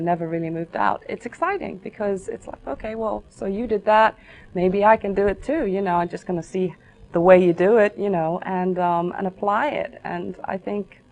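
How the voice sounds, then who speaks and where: A young adult singer-songwriter from Ghana who answers interview questions in a reflective, earnest way. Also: female voice, medium pitch, normal speed, darkish tone.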